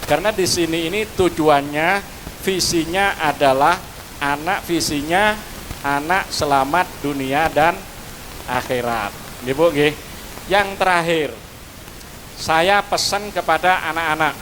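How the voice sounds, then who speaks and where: A man's voice: on the high side, measured pace, fairly bright tone, slight background noise, rough recording. A man from Madura with a Madurese accent, giving a preachy school graduation speech outdoors to parents and students. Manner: preachy, addresses listeners with Javanese honorifics. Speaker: school speaker.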